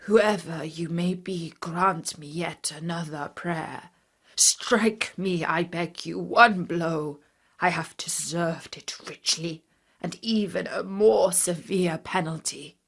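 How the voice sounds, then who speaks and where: Woman reading as a slow, husky blind beggar man. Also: fairly low pitch, heavily acted. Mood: pleading, insistent. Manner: formal, beseeching storybook speech. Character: humble, pleading old blind beggar man, penitent and persistent. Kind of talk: children's storybook reading.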